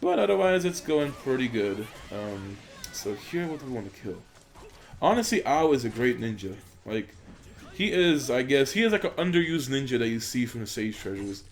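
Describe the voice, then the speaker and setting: A man's voice: mid-range voice, moderate pace. A young man, a gaming YouTuber who grew up in Poland and speaks Polish natively, giving casual, rambling commentary over gameplay into a desk microphone, with plenty of fillers. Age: young adult. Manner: rambling, filler-heavy, relaxed. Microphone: USB desk microphone.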